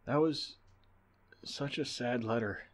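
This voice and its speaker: A man playing a sympathetic young assistant in an old-time radio drama, soft-hearted and plaintive. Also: mid-range voice, slow, close to an everyday voice. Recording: noisy amateur radio play recording.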